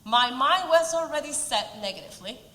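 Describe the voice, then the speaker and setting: A woman's voice: high-pitched, expressive, normal speed, fairly bright tone. A woman in her forties from Peru with a Spanish accent, giving an earnest speech with light humor at a community event. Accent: Peruvian Spanish. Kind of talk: prepared speech. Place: event hall. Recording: roomy hall reverb.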